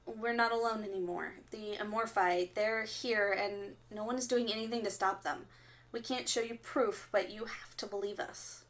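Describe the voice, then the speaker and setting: A woman playing an earnest, stern resistance fighter who delivers solemn, deliberate warnings in a radio drama broadcast. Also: mid-range voice, fairly even intonation, slight background noise, close to an everyday voice. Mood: solemn, urgent. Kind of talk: audio drama acting as underground radio broadcast.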